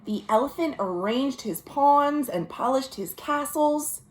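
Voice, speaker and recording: A woman with a high-pitched voice and an American accent hosts a storytime for little kids. Her delivery is very animated and slow, stretching out words and pausing to put simple questions to her listeners. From United States. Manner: expressive, drawn-out words, gentle questions to young listeners. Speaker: children's librarian hosting a virtual storytime. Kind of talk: read-aloud storytelling for young children, with chatty asides. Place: at home.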